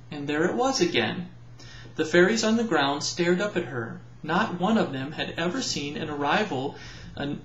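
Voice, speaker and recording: A man's voice: fairly high pitch, a bit bright, slight background noise. A man narrating a children's storybook aloud, as a parent reading to children. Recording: roomy echo, slightly dull home recording.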